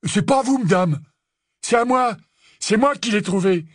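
Man doing a high, rough, cheeky thug. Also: measured pace, darkish tone, no echo, studio quality. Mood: stubborn. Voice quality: growling. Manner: defiant, rough colloquial speech. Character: rough, cheeky male snatcher, stubborn thug.

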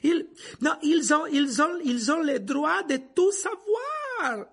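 A man voicing an agitated male professor, pleading urgently in a halting, panting way. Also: very high-pitched, sing-song, creaky, a bit bright, voice pushed up, exaggerated voice. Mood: breathless pleading.

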